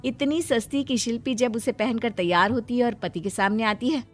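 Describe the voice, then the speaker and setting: Woman narrating a scripted moral story, highish voice, little bit of music behind her. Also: moderate pace.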